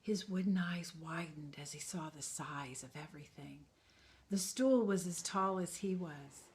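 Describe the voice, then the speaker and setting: A woman teacher reading a children's story aloud in a calm, expressive way. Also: low voice, measured pace.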